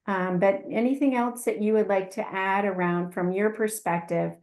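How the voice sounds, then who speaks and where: A woman's voice: mid-range voice, fairly even intonation, moderate pace, a little mellow. A woman moderating a webinar on a video call, introducing a guest and asking questions with frequent ums and restarts.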